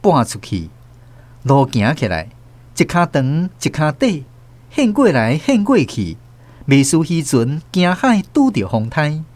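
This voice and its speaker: A man with a Taiwanese accent narrating a radio novel in an expressive storytelling manner. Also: on the high side, unhurried, no room sound. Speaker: narrator and host.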